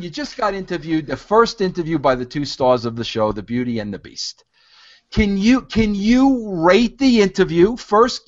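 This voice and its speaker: Brief: man in his fifties, bossy executive, swooping animated delivery, muffled lo-fi sound. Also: high-pitched. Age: 50s. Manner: teasing, pushy, interrupts. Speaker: network executive. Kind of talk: bossy coaching of interviewers.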